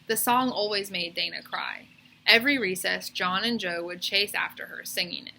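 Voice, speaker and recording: A woman reading a children's story aloud as a teacher, in expressive narration. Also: mid-range voice, moderate pace, vocal fry, a little hiss or hum.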